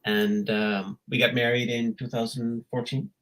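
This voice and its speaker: A man's voice: mid-range voice, little pitch movement, a little slow, fairly bright tone, low-quality recording. A middle-aged man from Peru, a guest in a casual interview, with a dry joking manner.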